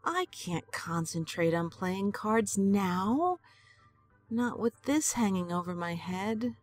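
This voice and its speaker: A woman's voice: medium pitch, slow, faint music behind. An actress playing an elderly woman who is worried and stubborn, and pleads and protests. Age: older. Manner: pleading, then defiant.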